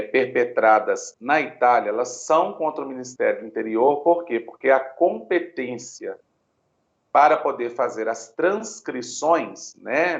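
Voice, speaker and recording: Gravelly Brazilian man, muffled echoey sound. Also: mid-range voice. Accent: Brazilian Portuguese. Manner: deliberate, tag questions like "né?" and "tá?". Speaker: lawyer interviewed on Italian citizenship law. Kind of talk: explanatory legal talk.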